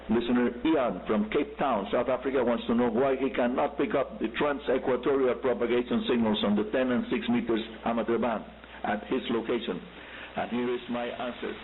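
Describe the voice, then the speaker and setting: A man with a Cuban accent and Spanish as his first language, hosting a scripted radio show and addressing listeners directly and deliberately. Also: medium pitch. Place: radio broadcast picked up on shortwave. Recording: hissy, humming narrow-band shortwave radio reception.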